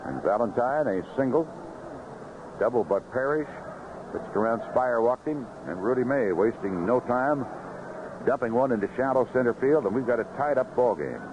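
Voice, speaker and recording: Animated American baseball announcer, vintage noisy radio. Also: male voice, mid-range voice, normal speed, lo-fi sound. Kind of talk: live radio play-by-play commentary. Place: ballpark broadcast booth.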